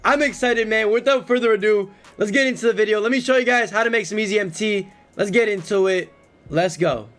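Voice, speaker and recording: Young male gaming YouTuber, hoarse, high-voiced, slangy and hyped. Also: brisk. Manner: energetic, slangy, keeps calling viewers bro and man. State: hoarse, lost voice. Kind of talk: casual gameplay commentary.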